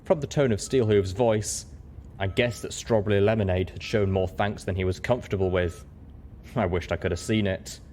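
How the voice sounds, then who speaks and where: Low male voice reading a story in first person, narrator style, slight background noise. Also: moderate pace, a little mellow.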